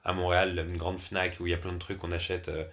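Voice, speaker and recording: A man's voice: low-pitched, level tone, fast, a bit bright. A man with an accent from France, a podcast guest telling personal anecdotes in a casual, conversational way. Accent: French from France.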